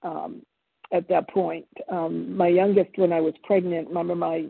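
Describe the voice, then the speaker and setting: A woman in her seventies whose first language is Italian, sharing her story at a recovery meeting in a halting, reflective way with many pauses and restarts. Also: on the low side, flat delivery, vocal fry, slightly rough, dry recording. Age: 70s. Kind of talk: personal testimony at a recovery meeting. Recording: phone-line audio.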